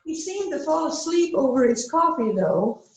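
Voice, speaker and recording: A woman's voice: sits high, big pitch swings, normal speed, very echoey. A woman playing a courteous, composed housewife suspected of murder in an amateur mystery play. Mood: polite, innocent. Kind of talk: amateur readers theater mystery acting.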